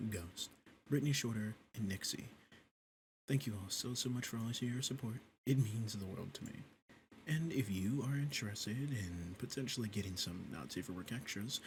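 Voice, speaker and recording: A man's voice: lowish voice, a little hiss or hum. A man, an audio roleplay creator, earnestly and gratefully thanking his listeners in a channel outro.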